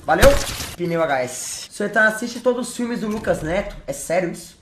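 A young man with a Brazilian Portuguese accent streaming a game from his bedroom on a headset, joking with chat and breaking into shouted mock-English boasts. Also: sits high, animated, moderate pace, roomy sound, noisy background. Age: young adult. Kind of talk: live gameplay commentary. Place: bedroom with gaming chair.

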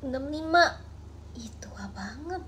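Young woman chatting late at night on her phone, high-pitched, playful, drops to murmurs, bit of room hiss. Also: expressive, unhurried. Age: 20s. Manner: playful, musing, drifts into soft murmurs. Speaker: idol livestreamer. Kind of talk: casual late-night livestream chat. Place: small bedroom at night. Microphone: phone at arm's length.

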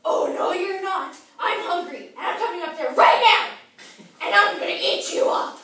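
Mean, hungry old troll played by a woman, very high-pitched, bossy bellowing, distant in an echoey room. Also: expressive, measured pace, fairly bright tone, exaggerated voice. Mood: furious, threatening. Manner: rude, bossy, hollering. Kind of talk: children's fairy-tale play. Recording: echoey room, far from mic.

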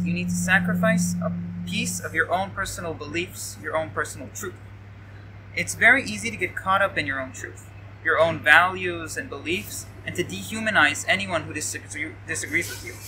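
A teenage male high school student giving a prepared personal reflection speech, recorded outdoors on a camera a few steps away. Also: rough recording. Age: teens. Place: outdoors by a leafy hedge. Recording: bright outdoor recording with faint noise.

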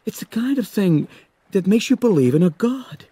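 A man voicing an eager, excitable young male marine scientist in an audiobook reading. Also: sits high, normal speed, breathy edge, no room sound, voice pushed up. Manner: eager, enthusiastic. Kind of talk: audiobook character voice.

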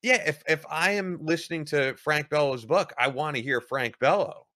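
A man's voice: fairly high pitch, moderate pace, bright, forceful tone, dry recording, clean studio sound. A man in his thirties hosting a podcast interview, wrapping up by plugging links and release dates. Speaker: podcast host.

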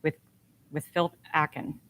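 A woman's voice: a little slow, fairly bright tone. A woman moderating a candidate forum, introducing questions and calling on speakers. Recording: clear background noise.

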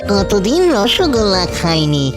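A woman voicing a greedy, mischievous, whiny ghost in a cartoon, in a theatrical, drawn-out manner. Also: medium pitch, sing-song. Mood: greedy, gleeful. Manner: theatrical, drawn-out, gleeful cackling. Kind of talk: cartoon voice acting. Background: music behind the voice.

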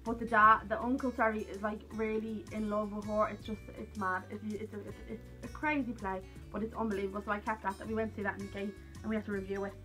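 A woman's voice: mid-range voice, flat delivery, fast talker, hardly any pauses, pushed and bright, soft background music. A young woman with an Irish accent chatting casually to camera in a rambling, self-deprecating way. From Ireland. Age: young adult. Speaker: vlogger. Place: small cluttered room at home, indoors.

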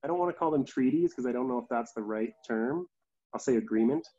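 A man's voice: medium pitch, fast talker, mellow, breathy edge. A man in his twenties with a Canadian accent, chatting casually as a guest on a video call. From Calgary, Canada. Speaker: theatre artistic director and guest. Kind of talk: casual chat. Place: small room indoors. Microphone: laptop on video call. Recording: dull video call audio.

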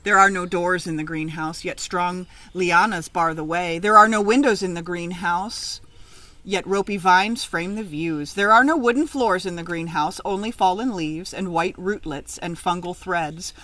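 A middle-aged woman reads a children's picture book aloud outdoors, with expressive, emphatic, sing-song phrasing. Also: lowish voice, moderate pace, no echo. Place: outdoors in a leafy garden.

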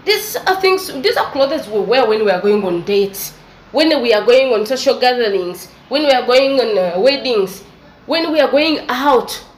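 A woman's voice: fairly high pitch, expressive, moderate pace. Preachy woman scolding in an echoey room. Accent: East African English. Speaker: vlogger. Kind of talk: opinion commentary vlog. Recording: echoey room with faint noise.

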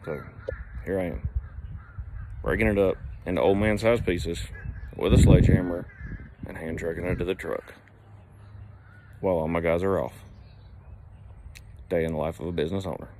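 A man's voice: deep voice, steady tone, normal speed, pauses often, noisy background. Laid-back man vlogging outdoors. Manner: easygoing, rambling talk with fillers. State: sore back from heavy lifting. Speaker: plumbing company owner. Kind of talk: casual selfie vlog. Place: outdoors by a brick building on a job site. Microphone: phone at arm's length.

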